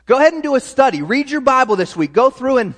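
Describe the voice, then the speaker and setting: A man's voice: very high-pitched, big pitch swings, fast, a bit bright, long reverb tail. A man preaching a sermon as pastor, talking in a conversational way with frequent restarts and asides.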